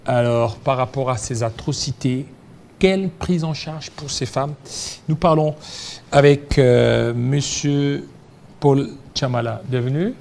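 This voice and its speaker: A man's voice: mid-range voice, slow pace, vocal fry, a little mellow, slight background noise. A man with a Congolese accent hosting a TV interview in a studio, speaking emphatically and repeating key phrases. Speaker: TV talk show host. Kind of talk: interviewing guests on a magazine show.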